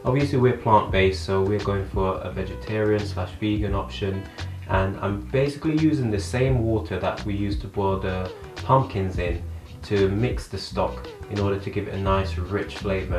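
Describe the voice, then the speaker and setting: Low-voiced man demonstrating a recipe. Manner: relaxed, explaining each step. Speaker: home cook, family vlogger. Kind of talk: cooking demonstration. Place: home kitchen. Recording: echoey room with background music.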